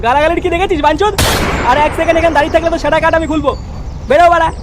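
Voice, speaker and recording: A man voicing an irascible, foul-mouthed parent in a comedy cartoon, scolding and bullying in a pitched-up voice. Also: fairly even intonation, rapid-fire. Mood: furious. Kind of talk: exaggerated comedy cartoon acting. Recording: noisy track with street and vehicle ambience.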